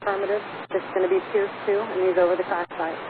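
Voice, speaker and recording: Flat-toned air traffic controller over noisy radio. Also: medium pitch, fast. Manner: procedural, matter-of-fact. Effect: radio transmission. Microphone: aviation radio link recorded off a scanner. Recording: noisy narrow-band radio scanner audio.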